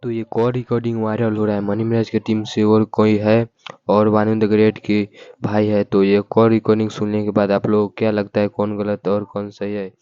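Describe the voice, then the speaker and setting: A man's voice: fairly low pitch, completely flat delivery, moderate pace, mellow, clean tone, dry recording. A man narrating a video as a voice-over in a scripted commentary style. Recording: dull lo-fi voice-over.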